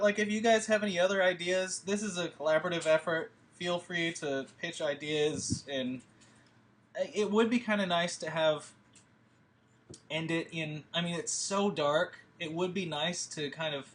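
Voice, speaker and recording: A man's voice: fairly high pitch, a bit bright. A man leading a film table read, talking through plans in a rambling, hesitant way with many fillers. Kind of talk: casual discussion.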